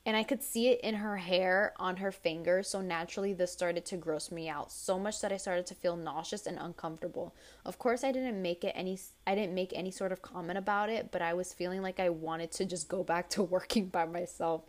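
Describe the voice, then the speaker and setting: A young woman hosting a casual advice podcast, blunt and chatty, with a tired, raspy voice as she reads listener stories aloud. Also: fairly low pitch, steady tone, brisk, few pauses. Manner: blunt, chatty, swears freely. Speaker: podcast host.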